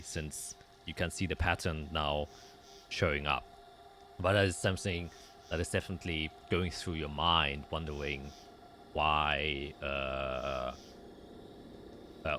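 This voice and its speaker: A man narrating a tabletop roleplaying game as game master, explaining in a halting way and often rephrasing. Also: very deep voice, pushed and bright, slight background noise.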